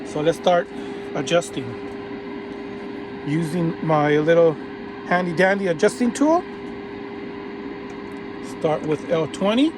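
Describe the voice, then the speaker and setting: A man casually narrating a hands-on radio repair tutorial while he works, relaxed and restarting his phrases often. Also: on the high side, takes it slowly, pauses often. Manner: relaxed, talks while working, frequent restarts. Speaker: vintage radio repair hobbyist. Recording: audible background noise.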